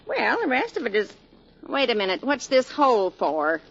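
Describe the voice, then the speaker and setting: An actress playing a loyal, sensible housemaid in a 1940s radio sitcom, with a deadpan, tactful manner. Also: female voice, a little high, normal speed, creaky, full character voice. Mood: dry, skeptical. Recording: muffled, noisy radio recording before audience.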